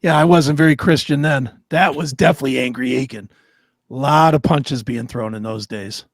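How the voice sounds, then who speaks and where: A man with a raspy voice co-hosting an unscripted talk show, arguing in a crude, defiant, profane way. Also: mid-range voice, normal speed, no echo, studio quality.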